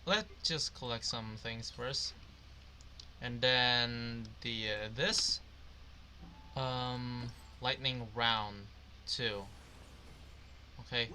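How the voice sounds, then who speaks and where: A man commentates casually on a video game. He speaks slowly and haltingly in a bright voice, often muttering to himself, with faint noise in the background. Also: mid-range voice, steady tone. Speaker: gamer playing through a story game.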